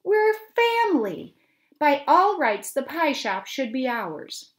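A woman voicing an excitable, greedy middle-aged mother who keeps exclaiming. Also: takes it slowly, heavily acted. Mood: angry. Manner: shrill and exclaiming.

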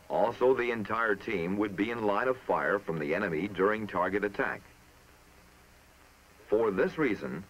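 A man with an American accent reading scripted military training narration in a formal, measured, authoritative way, on an old film soundtrack. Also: fairly low pitch, muffled recording, a little hiss or hum.

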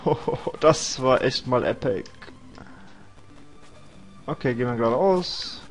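A man's voice: mid-range voice, expressive, slow pace, soft background music. A young man with a cold, casually rambling and joking to himself while commentating a video game. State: has a cold. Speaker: let's play host.

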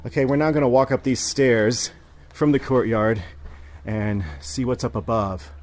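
Man, travel vlogger, casual walking-tour narration, mid pitch, outdoors, handheld camera mic. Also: fairly even intonation, a little slow, darkish tone. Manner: chatty, explains as he walks, laughs now and then. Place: outdoors at a ruined hilltop fort.

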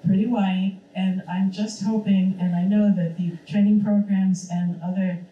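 A woman's voice: medium pitch, fairly even intonation, a little slow, mellow, dull audio. A woman speaking as a museum professional, closing her talk at a college in an earnest, hopeful way. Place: college lecture room. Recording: echoey room, distant mic.

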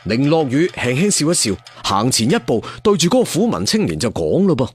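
A man narrating a martial-arts story in an expressive, dramatic way. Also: medium pitch, fairly quick, slightly rough, soft background music. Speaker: audiobook storyteller.

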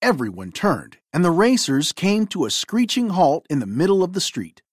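A woman reading a children's storybook aloud in an expressive, theatrical way. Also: lowish voice, normal speed, breathy edge, no echo, studio quality. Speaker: storybook reader.

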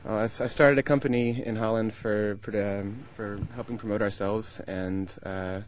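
A man's voice: lowish voice, steady tone, normal speed, poor audio. A young man from the United States, a band member, answering interview questions hesitantly with many ums and restarts. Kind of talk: casual interview. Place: indoor festival lounge.

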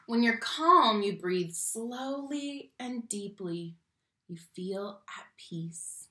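A young adult woman reads a picture book aloud to young children, expressively, stressing key words and speaking to the listeners directly. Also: mid-range voice. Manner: animated, slow emphatic phrasing on key words, addresses children directly. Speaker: read-aloud teacher and storyteller. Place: small room at home, indoors. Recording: roomy home webcam sound.

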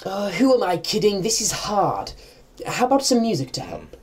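A man's voice: high-pitched, wildly swooping pitch, moderate pace, darkish tone, husky, heavily acted. A man playing a prim, fussy, inept shopkeeper brother in a comedy radio play, stammering and earnest. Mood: unsure. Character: prim, inept, fussy upper-class brother running antique shop.

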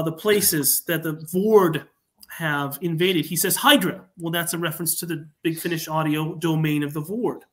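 A man talking as a writer guest on a casual podcast, discursive, rephrasing and elaborating as he goes. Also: on the high side. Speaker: guest, fan audio drama writer. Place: remote online video call.

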